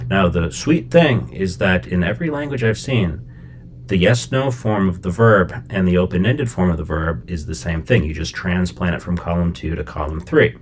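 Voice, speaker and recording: A middle-aged man from Chicago explaining a language-learning method in a relaxed, conversational way, with plenty of fillers and check-ins. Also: low-pitched, moderate pace, slight background noise. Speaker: language teacher. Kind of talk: casual explanatory lesson.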